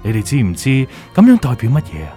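Theatrical, swooping villainous official, Cantonese. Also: male voice, on the low side, huge pitch swings, mellow, soft background music. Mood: smug. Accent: Hong Kong Cantonese. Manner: theatrical, taunting, drawn-out emphasis, drops in English words. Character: gloating, manipulative villainous male official, mocking. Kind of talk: Cantonese audio drama acting.

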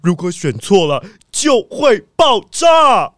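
A man playing an earnest, excitable police squad captain in a children's detective audio drama, animated and anxious. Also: sits high, slow, dry recording, studio quality, exaggerated voice.

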